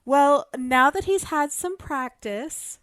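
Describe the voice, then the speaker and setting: Female podcast guest, unhurried and hesitant, mid pitch, dry close sound, casual discussion. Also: expressive, fairly bright tone, no echo.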